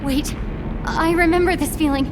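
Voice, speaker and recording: A woman's voice: very high voice, fairly even intonation, normal speed, background noise. A woman voicing an anxious young female adventurer in a dubbed fantasy game cutscene, earnest and urgent in delivery. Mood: distressed, confused. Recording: music behind the voice.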